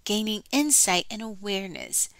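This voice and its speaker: A woman's voice: mid-range voice, moderate pace, no echo. A woman hosting storytime, narrating expressively for children and speaking to them directly. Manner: expressive, addresses young listeners directly.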